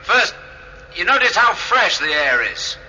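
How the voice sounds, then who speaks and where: A man's voice: high voice, very animated, measured pace, sharp tone, highs cut off, exaggerated voice. An actor playing an observant, matter-of-fact starship crewman reasoning things out in a radio drama. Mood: reasoning, insistent.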